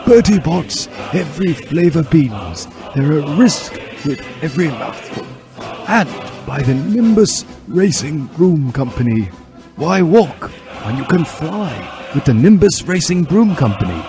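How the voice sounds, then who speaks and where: A man voicing an elderly wizard headmaster who announces things grandly like a corporate pitchman, in a comic dramatic reading. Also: very animated, unhurried. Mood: grand, salesmanlike. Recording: music behind the voice.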